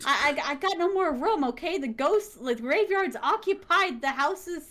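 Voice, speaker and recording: High-voiced chatty woman telling ghost stories. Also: normal speed. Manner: animated, acts out voices in her stories; laughs often, fillers like "like". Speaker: interview guest, content creator. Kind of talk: casual chat, storytelling.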